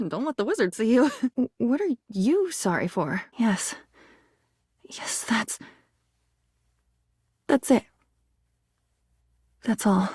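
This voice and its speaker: A woman's voice: on the high side, big pitch swings, slow, long pauses, darkish tone, breathy edge, no echo, clean studio sound, heavily acted. An actress voicing a whimsical, anxious, melodramatic young woman in a video game, with a theatrical, musing manner. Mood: tender, playful.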